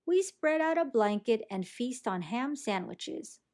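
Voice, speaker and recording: A woman reading a children's storybook aloud in an expressive, animated way that acts out the action. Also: on the high side, a little slow. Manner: animated, acts out sound effects and character lines. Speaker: storybook reader.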